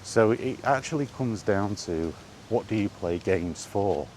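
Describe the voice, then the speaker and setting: Male, deep voice, slow halting delivery, casual off-the-cuff chat, faint outdoor noise behind. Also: expressive, darkish tone, poor audio. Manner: halting, musing, repeats phrases. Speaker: host of a gaming chat series. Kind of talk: casual rambling monologue about video games.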